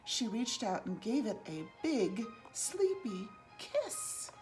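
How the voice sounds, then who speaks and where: A woman with a fairly high voice reads a rhyming picture book to children. Her delivery is expressive and singsong, with big swoops in pitch and an unhurried pace. Music plays along with the reading. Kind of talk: read-aloud children's storytelling in rhyme.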